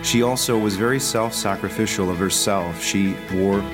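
Brief: male priest, lowish warm tone, heartfelt testimony over plucked guitar. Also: moderate pace. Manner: sincere, reflective. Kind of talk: recorded radio testimonial. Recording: spot with guitar music behind.

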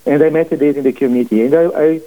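A man in his forties with a Portuguese accent, a priest guest speaking haltingly, with many fillers and restarts, on a radio interview. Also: fairly high pitch. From family from Portugal. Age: 40s. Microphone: phone call into studio. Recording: phone line.

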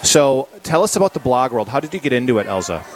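A man hosting a radio interview from a high school, halting and repeating words as he asks questions. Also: very animated, rough recording. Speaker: radio host.

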